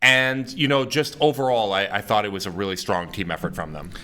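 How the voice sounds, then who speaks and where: Raspy, bright-voiced male podcast host. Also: fairly low pitch, fairly quick. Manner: energetic, emphatic basketball analysis. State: slightly hoarse from cheering. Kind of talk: casual sports podcast chat.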